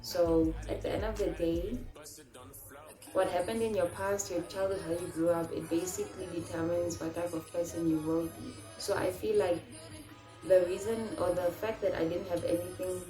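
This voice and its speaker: Woman, 20s, from Namibia, casual vlog, chatty storyteller, echoey room, soft music behind. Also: on the low side, moderate pace. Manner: chatty, animated storytelling with many fillers. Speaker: vlogger.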